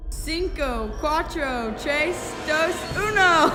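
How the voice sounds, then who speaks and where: A young woman playing the host of a cooking show in a school skit, in slow, careful learner's Spanish. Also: sits high, expressive, over music, heavily acted. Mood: announcing. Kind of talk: student classroom skit.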